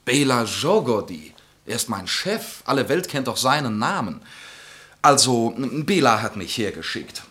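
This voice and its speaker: Breezy, boastful society chatterer, swooping voice. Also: male voice, mid-range voice, big pitch swings, a little slow, fairly bright tone. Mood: self-important. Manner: chatty, exuberant, laughing, name-dropping. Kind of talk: German radio play acting.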